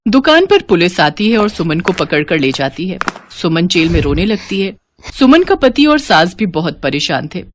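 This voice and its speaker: A woman's voice: fairly low pitch, sing-song, normal speed, background noise. A woman reading a scripted devotional moral story as its narrator.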